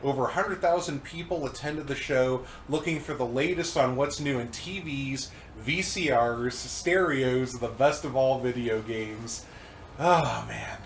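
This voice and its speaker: A man's voice: mid-range voice, unhurried, reverberant, a little hiss or hum. A congested man rambling casually about old games, with many fillers and restarts. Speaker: co-host reviewing old magazines. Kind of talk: casual chat.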